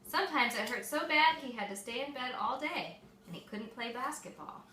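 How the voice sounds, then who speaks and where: A woman reading a picture book aloud to young children, expressive and explaining things simply, like a mother at storytime. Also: on the high side, normal speed. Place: echoey room with small children present. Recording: echoey room, distant mic, faint noise.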